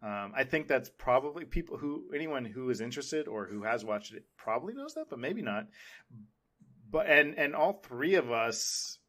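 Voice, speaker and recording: A man's voice: mid-range voice, very animated, normal speed, fairly bright tone. A man hosting a podcast in a casual, informal, conversational way. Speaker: podcast host. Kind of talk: casual chat.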